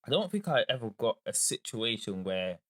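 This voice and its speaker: A man, a podcast co-host, telling stories in a casual, animated way. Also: medium pitch, normal speed. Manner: animated, storytelling, laughs often. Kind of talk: casual chat.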